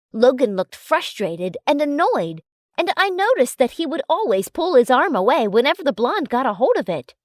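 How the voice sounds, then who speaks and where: A woman's voice: high-pitched, no room sound, clean studio sound. A woman reading a first-person romance story as a scripted narration.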